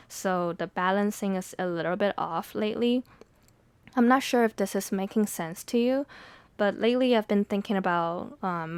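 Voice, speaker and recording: A woman's voice: medium pitch, smooth, dry recording. A young adult woman whose first language is Mandarin, speaking as a podcast host in a reflective, confiding, conversational monologue.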